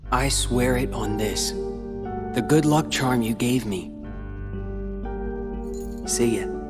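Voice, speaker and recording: A man's voice: mid-range voice, slow, big-room sound, over music, close to an everyday voice. A man voicing a troubled, defiant young male hero in a video game cutscene, earnest and emotional. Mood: protective.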